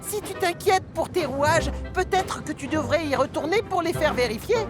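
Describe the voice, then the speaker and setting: Young caring cartoon engine, high bright-hearted childlike part, music underneath. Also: female voice, lively intonation, moderate pace. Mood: concerned. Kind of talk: French children's cartoon dub. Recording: music behind the voice.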